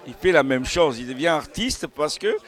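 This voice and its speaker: A man speaking as a novelist in an unscripted interview about his own books, halting and searching for words as he digresses. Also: fairly high pitch, expressive, a bit bright. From Italian family background. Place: book festival.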